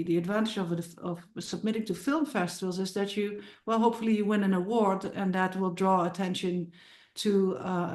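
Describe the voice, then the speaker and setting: Dutch-accented woman, halting speech. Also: medium pitch, darkish tone. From Netherlands. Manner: halting, many restarts and repeated words. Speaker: filmmaker and musician guest. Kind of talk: casual podcast interview. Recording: roomy sounding.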